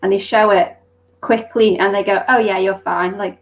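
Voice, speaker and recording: A woman's voice: mid-range voice, steady tone, normal speed, creaky, highs cut off, slight background noise. Giggly young woman. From United Kingdom. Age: 20s. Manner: giggly, teasing storyteller. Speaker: co-host of a pet keepers' live show. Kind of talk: casual group chat.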